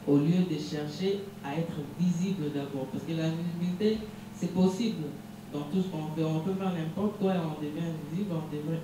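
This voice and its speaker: A young man from DR Congo, a musician, giving measured, reflective interview answers with frequent restarts. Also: a little high. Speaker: musician guest. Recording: echoey room from far off, noisy hum.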